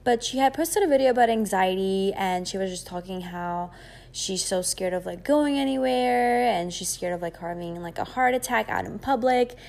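A woman's voice: medium pitch, moderate pace, vocal fry, darkish tone. A woman in her twenties from Greece, hosting a podcast and chatting casually on her own, with lots of fillers and rambling asides. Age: 20s. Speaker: podcast host. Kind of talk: casual solo podcast chat.

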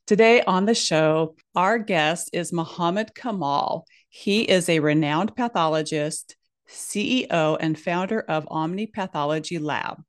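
Upbeat woman podcast host. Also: on the low side. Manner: upbeat, chatty, jokey. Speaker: podcast host, dental hygienist turned consultant. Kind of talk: podcast hosting.

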